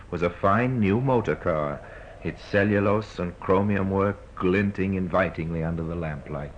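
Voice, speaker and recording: Grave, wry male narrator, played by an actor, very low and measured, old radio crime drama, muffled sound. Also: slight background noise, close to an everyday voice. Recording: dull old radio recording.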